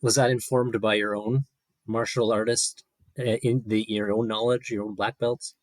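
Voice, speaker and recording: A man interviews casually in a slightly low voice. He feels his way into questions, restarting and rewording them, and is recorded very dry with no room sound. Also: flat delivery, no echo. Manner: halting, rephrases questions. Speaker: podcast interviewer.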